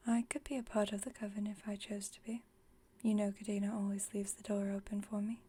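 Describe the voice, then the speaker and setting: A woman playing an aloof, wry vampire friend with a secretly caring side, in a soft, breathy ASMR roleplay, speaking in a dry, teasing, unhurried way. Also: medium pitch, little pitch movement, natural voice. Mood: wry, gently comforting. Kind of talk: ASMR roleplay, intimate monologue.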